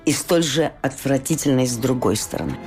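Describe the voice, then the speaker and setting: A woman interviewer speaking in a deliberate, emphatic, essayistic way, as in a reflective literary interview. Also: rumbling low, over music.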